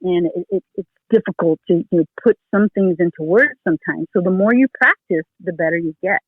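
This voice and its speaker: A woman's voice: lowish voice, brisk, husky, no echo. A middle-aged woman, a retired police officer, giving casual interview advice in a rambling way, full of "you know" fillers and restarts. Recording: tinny phone line.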